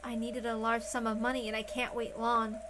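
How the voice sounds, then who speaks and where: A woman's voice: on the high side, level tone, brisk, background noise, natural voice. A woman voicing a tense, anxious and secretive mother, hesitant and self-questioning, in a visual novel read aloud. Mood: anxious, calculating. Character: anxious, secretive adult mother, quick to scold.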